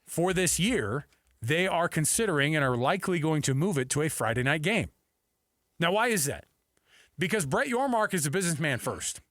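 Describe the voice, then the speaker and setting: A male sports radio host with a slightly high voice, recorded close and dry in a studio. He argues his opinions emphatically, circling back to repeat key phrases for weight. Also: big pitch swings, moderate pace, fairly bright tone, dry recording.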